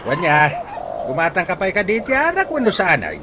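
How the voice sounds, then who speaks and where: A man with an Ilocano accent acting a gruff, nagging father in a radio comedy drama. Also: sits high, huge pitch swings, normal speed, background noise. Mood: dry, reproving. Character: gruff, nagging father of a drunkard grown son.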